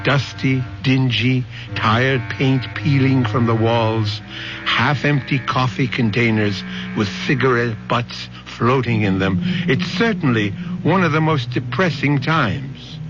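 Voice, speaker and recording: A man's voice: medium pitch, takes it slowly. A man hosting and narrating a radio drama in a theatrical, literary, portentous manner. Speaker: host and narrator. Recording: muffled old radio broadcast. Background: music.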